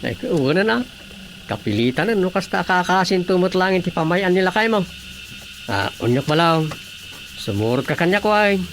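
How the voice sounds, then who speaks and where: A man's voice: sits high, very animated, normal speed, a bit bright, a little hiss or hum, exaggerated voice. A man with an Ilocano accent acting a grumbling, put-upon village councilman in a radio drama comedy. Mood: exasperated.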